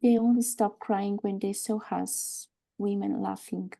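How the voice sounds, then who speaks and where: A woman playing a bitter, grieving wife and mother in a screenplay table read, telling her story in a halting, wry way. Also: mid-range voice, fairly even intonation, creaky, warm tone.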